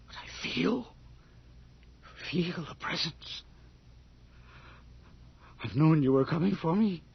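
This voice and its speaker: An actor playing a frail, frightened old man in a radio horror drama, quavering, halting and pleading in a breathy, husky voice. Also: on the high side, highs cut off, full character voice.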